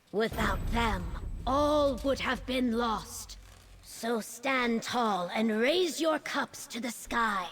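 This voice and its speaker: Slow, stately governor. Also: female voice, on the high side, a bit bright. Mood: proud, rallying. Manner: formal, stately, measured. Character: dignified female governor, gracious and commanding. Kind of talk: video game character dialogue.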